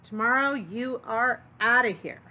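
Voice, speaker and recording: A woman's voice: on the high side, measured pace, pushed and bright, slight background noise. A woman voicing an earnest, easily exasperated young pet owner in an emphatic, lilting storybook manner. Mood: stern.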